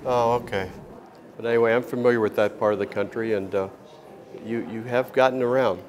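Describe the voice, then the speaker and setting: A man's voice: medium pitch, steady tone, fairly quick. Older man hosting a folksy interview. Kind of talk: casual on-location interview.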